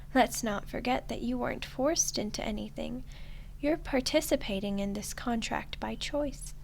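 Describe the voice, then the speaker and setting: A woman playing a slow, smug female archdemon. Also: high-pitched, vocal fry, a little hiss or hum. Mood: mocking. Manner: mocking, condescending, unhurried. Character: smug, teasing female archdemon toying with a human ruler. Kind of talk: roleplay ASMR acting.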